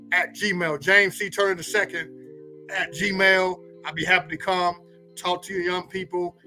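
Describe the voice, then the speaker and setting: Male pastor for casual talk show, high bright voice, colloquial and preacherly, faint music behind. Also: poor audio. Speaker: pastor, guest co-host.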